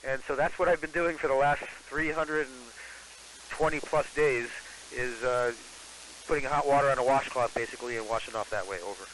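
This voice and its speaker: A man's voice: mid-range voice, fairly quick, a bit bright. A man speaking as an astronaut over a ham radio transmission, answering questions in a procedural, explanatory way. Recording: hissy, noisy ham radio reception. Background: radio hiss.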